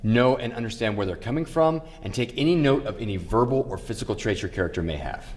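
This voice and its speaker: A man's voice: medium pitch, expressive, quick pace, darkish tone. A man presenting acting tips in scripted narration with casual asides.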